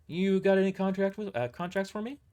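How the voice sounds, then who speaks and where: A man's voice: high-pitched, fairly quick, warm tone, smooth, pitched above the performer's own voice, lightly acted. A man voicing a small, casual, unbothered female mercenary in an amateur audio drama. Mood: casual, brisk.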